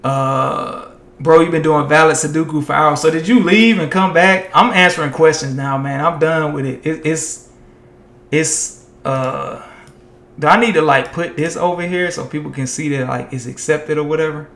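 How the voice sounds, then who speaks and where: A man's voice: fairly high pitch, moderate pace, a bit bright. Chatty man with a tiring, echoey voice. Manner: loose and chatty, heavy on "like" and "man". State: voice tiring and going hoarse. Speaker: live-streaming tech content creator. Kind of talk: casual live-stream chat.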